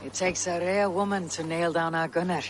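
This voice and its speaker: A woman's voice: on the low side, steady tone, a little fast, natural voice. A woman playing a steadfast Viking warrior in a video game, speaking firmly and bluntly. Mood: teasing. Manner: firm, blunt, commanding. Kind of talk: dramatic video game acting. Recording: clear background ambient noise.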